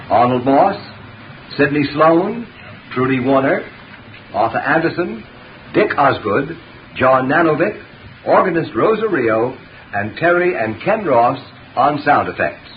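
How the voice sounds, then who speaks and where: A man announcing formally in the style of 1930s radio. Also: mid-range voice. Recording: muffled, noisy 1930s radio recording.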